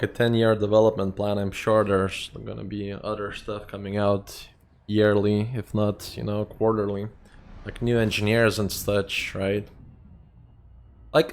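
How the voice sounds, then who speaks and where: A man's voice: on the low side, darkish tone. A young man from Lithuania with a Lithuanian accent, chatting casually and rambling with lots of fillers while streaming a game. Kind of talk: casual live-stream chat.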